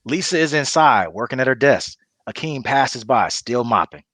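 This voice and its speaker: A man's voice: mid-range voice, lively intonation, moderate pace, a bit bright, no room sound. Man narrating, very close dry sound. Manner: informal, storytelling. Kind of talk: reading stage directions, casual narration.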